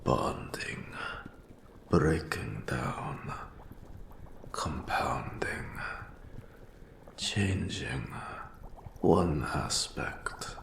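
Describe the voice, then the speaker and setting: A man voicing an ancient, scholarly specter who mentors an apprentice in a fantasy audio roleplay, speaking in a whispery, gravelly rasp with slow, formal, archaic phrasing. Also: very deep voice, expressive, reverberant, full character voice. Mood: eerie, scholarly fascination. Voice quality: whispery, gravelly, breathy rasping gasps. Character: eldritch accursed specter, ancient scholarly male mentor of curse magic.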